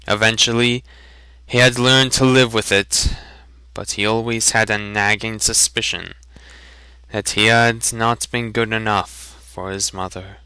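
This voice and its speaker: Male storyteller, bright and smooth, deliberate dramatic delivery, very dry sound. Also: fairly low pitch, fairly even intonation, no room sound, rough recording. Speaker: reader of a fantasy novel. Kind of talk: dramatic audiobook reading with joking asides.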